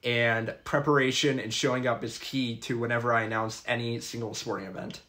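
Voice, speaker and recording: A man's voice: medium pitch, fairly even intonation, brisk, sharp tone. A young man, a college student and sports announcer, giving earnest, methodical advice in a scripted vlog. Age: young adult. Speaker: public address announcer.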